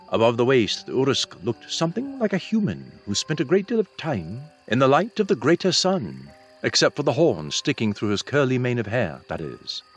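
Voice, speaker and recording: A man's voice: medium pitch, husky. A man reading a fantasy novel aloud as scripted audiobook narration.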